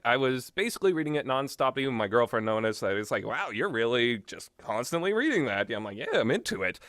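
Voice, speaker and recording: A man's voice: mid-range voice, a bit bright, clear, resonant tone, dry recording, clean studio sound. A man giving casual, rambling commentary with strong opinions and an emphatic way of talking. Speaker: video host.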